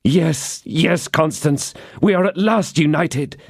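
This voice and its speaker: A man's voice: a little high, takes it slowly, slightly rough, no room sound, studio quality, voice pushed up. A man doing a frantic young swordsman. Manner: urgent, crying out. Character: passionate young swordsman in anguish.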